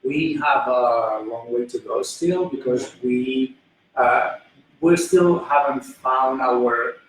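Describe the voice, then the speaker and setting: A man from a film cast, speaking earnestly with halting restarts in a casual panel. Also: mid-range voice, slight background noise. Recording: echoey room, mic at a distance.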